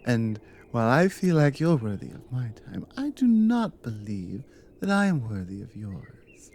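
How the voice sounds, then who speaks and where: A woman playing a teasing, devoted Nordic adventurer wife in an intimate ASMR roleplay, speaking softly and often dropping into a whisper. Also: sing-song, full character voice. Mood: tender. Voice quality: soft, low, breathy with whispered stretches. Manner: teasing, unhurried, affectionate.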